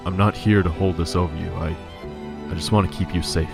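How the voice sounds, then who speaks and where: A man playing a brooding, protective playboy detective in an audio drama, speaking in a grave, measured way. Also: sits low, steady tone, creaky, over music.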